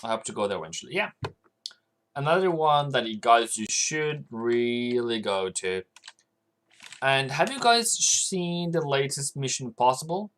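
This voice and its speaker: A man's voice: medium pitch, lively intonation, unhurried, fairly bright tone, a little hiss or hum. Chatty man streaming. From Norway. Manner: rambling, chatty, answers viewer comments aloud. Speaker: forex trading livestreamer. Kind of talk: casual livestream chat.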